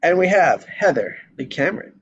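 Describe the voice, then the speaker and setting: A man's voice: on the high side, big pitch swings, normal speed, a bit bright. A man hosting a casual online group chat in an effusive, encouraging way. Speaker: host of an online table read show. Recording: muffled video call audio, clear noise, clicks.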